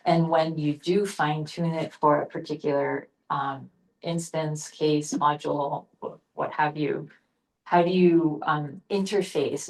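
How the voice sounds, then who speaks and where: A woman's voice: low-pitched, low-quality recording. A woman moderating a session, asking an unscripted academic question in formal, carefully searched-for technical phrasing.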